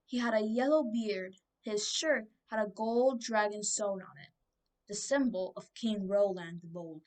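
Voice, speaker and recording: A woman's voice: medium pitch, a little slow, a little mellow, distant mic. A twelve-year-old girl reading a children's storybook aloud as scripted narration. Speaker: book reader.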